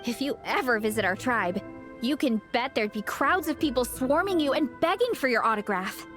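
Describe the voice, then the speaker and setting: A woman's voice: sits high, expressive, normal speed, fairly bright tone, faint music behind. A woman voicing a supportive, confident young athlete in a video game, sincere and encouraging in the way she talks.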